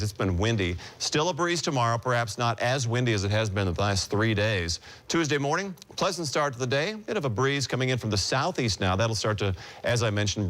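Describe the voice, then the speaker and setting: A man with an American accent delivers a television weather report. His voice sits on the low side, and he talks through the forecast in an unscripted way. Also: a bit bright.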